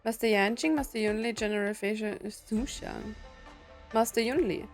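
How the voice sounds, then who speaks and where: A woman game streamer giving casual playthrough commentary in short, unhurried reactions and read-out dialogue. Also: on the high side, soft background music.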